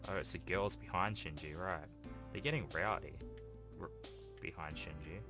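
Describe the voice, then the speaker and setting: A man's voice: low-pitched, normal speed. A man reading a visual novel aloud with casual, rambling, dry asides as a gaming host. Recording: music behind the voice. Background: game music.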